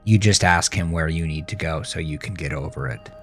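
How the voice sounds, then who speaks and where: A man narrating a horror story with a grave, deliberate, suspenseful delivery. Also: a bass voice, fairly bright tone, soft background music. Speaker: author and narrator of horror podcast. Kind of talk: dramatic second-person horror story narration.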